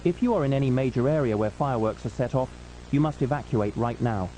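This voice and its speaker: Male emergency broadcast announcer, relaxed dark tone, solemn and formal scripted read, slightly noisy recording with hum. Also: mid-range voice, quick pace.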